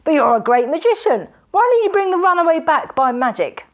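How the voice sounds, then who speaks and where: A woman's voice: very high voice, sing-song, brisk, voice pushed up. A woman voicing a boisterous, blustering male robber with hearty laughter in a storybook reading. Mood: jovial.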